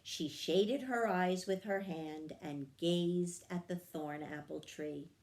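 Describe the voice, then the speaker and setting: A woman reading a children's story aloud in a measured, expressive storytelling manner. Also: fairly low pitch. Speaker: story reader. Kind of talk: scripted children's storybook narration.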